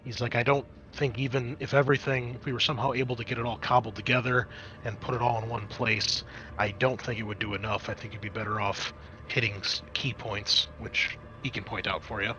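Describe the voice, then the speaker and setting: Male game master, bright forward voice, conversational and explanatory, improvising narration for a tabletop roleplaying session. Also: medium pitch, little pitch movement, brisk, dull audio.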